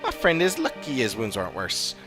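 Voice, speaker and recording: A man's voice: on the low side, sing-song, brisk, over music. A man voicing a cynical, experienced villager in a fantasy game, scornful and emphatic. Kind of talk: scripted game dialogue reading.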